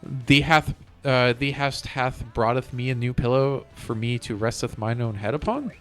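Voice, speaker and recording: A man's voice: medium pitch, moderate pace, a bit bright, natural voice. A man voicing a flowery townsperson from a cartoon game in theatrical, old-time English phrasing. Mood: grateful, florid. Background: game music.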